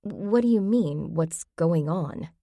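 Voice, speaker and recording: A woman's voice: fairly low pitch, animated, slow pace, warm tone, dry recording, clean studio sound, full character voice. A woman voicing a practical, puzzled adult woman who asks reasonable questions in an audiobook.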